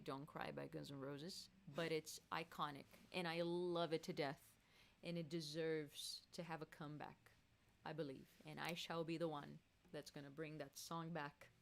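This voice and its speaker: A woman's voice: sits low, creaky. Playful woman chatting on a live stream. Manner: playful, laughs often, reads chat aloud. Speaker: singer hosting a live stream. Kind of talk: casual live-stream chat.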